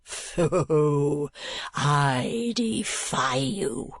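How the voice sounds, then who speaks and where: A woman voicing a lovesick, mad old gentleman who declaims in grand theatrical rants. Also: deep voice, lively intonation, very slow, slightly rough, no room sound, exaggerated voice. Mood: raving.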